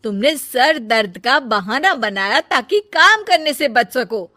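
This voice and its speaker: A woman voicing a hardworking elder sister-in-law in a cartoon story, with an emphatic, sharp-tongued manner. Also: sits high, lively intonation, takes it slowly, slight background noise, exaggerated voice. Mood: indignant, scolding.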